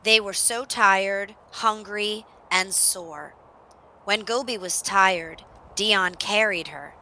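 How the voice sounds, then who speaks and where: A woman narrating a children's story in an expressive read-aloud style, with dramatic emphasis on action words. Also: medium pitch, takes it slowly, low-quality recording.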